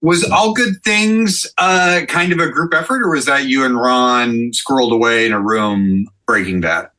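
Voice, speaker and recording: A man's voice: medium pitch, moderate pace, bright, forceful tone. A man on a podcast panel, talking casually and analytically as he thinks aloud. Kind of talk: casual discussion.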